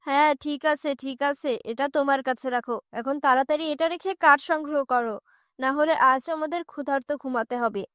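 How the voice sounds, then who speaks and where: A woman plays a hard-up, weary mother in a cartoon fairy tale. She is bitter and scolding, with a higher-pitched voice in a dry recording. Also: brisk, a bit bright, no room sound. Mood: scolding, brisk.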